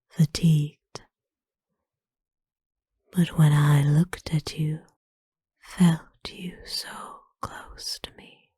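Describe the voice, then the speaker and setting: A woman playing a kind, doting vampire in an ASMR roleplay, speaking in a gentle, formal, soothing way, mostly in whispers. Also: lowish voice, breathy edge, no room sound, clean studio sound, heavily acted. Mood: apologetic, tender. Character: kind, doting female vampire owner of a human.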